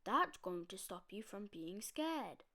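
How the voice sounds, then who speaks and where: A woman voicing a big, patient, caring male bear in a soothing, emphatic, drawn-out way for a children's storybook. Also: medium pitch, big pitch swings, bright, forceful tone, full character voice. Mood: reassuring.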